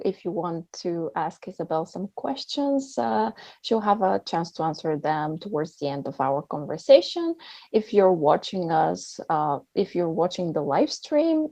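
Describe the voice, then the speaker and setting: A woman hosting an online event, introducing things in a halting, hesitant way with many pauses. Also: lowish voice, darkish tone, no echo. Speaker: host of a festival talk. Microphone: video call. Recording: dull, band-limited online call sound.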